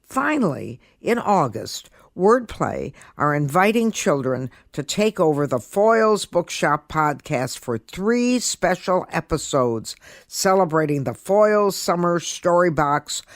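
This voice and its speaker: A woman's voice: deep voice, big pitch swings, a little slow, no room sound. Woman podcast host, emphatic, dry close sound. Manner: emphatic, repeats words for stress. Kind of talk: podcast presenting and review commentary.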